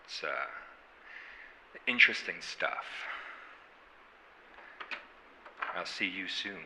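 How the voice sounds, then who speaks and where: A sardonic police detective with a deep voice and a sharp, forceful edge. He talks in a folksy, deliberate way with menace underneath, in a reverberant room with audible background noise. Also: full character voice. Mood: cold, needling. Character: gruff small-town homicide detective, sardonic, needling, quietly menacing. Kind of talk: audio drama interrogation acting.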